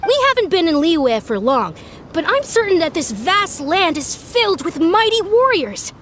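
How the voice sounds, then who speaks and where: A woman's voice: very high-pitched, very animated, moderate pace, fairly bright tone, slight background noise, full character voice. A woman voicing an earnest, grandiose young warrior in a video game, speaking formally and theatrically. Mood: earnest, grand. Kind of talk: animated video game voice acting.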